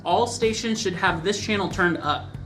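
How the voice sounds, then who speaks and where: A man calmly narrating a scripted training lesson on church production intercom. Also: sits high, soft background music. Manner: calm, measured, instructive.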